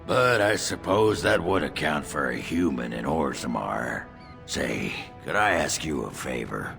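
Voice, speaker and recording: A man voicing a gruff, boastful, hard-drinking dwarf warrior in a video game, crude and blunt, with a gravelly voice. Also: expressive, unhurried, fairly bright tone, faint music behind, exaggerated voice. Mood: gruff, mocking. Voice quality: gravelly, deep. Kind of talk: video game character dialogue.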